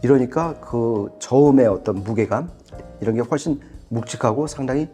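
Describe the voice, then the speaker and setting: A man's voice: medium pitch, normal speed, dark tone, faint music behind. A man reviewing audio products in conversational commentary, explaining things with casual, emphatic phrasing.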